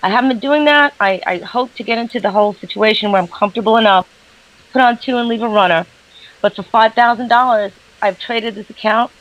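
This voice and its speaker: A woman's voice: a little high, a little fast, a bit bright, lo-fi sound. A woman with a New York accent, a guest trader chatting casually on a call, recorded through her laptop's built-in mic.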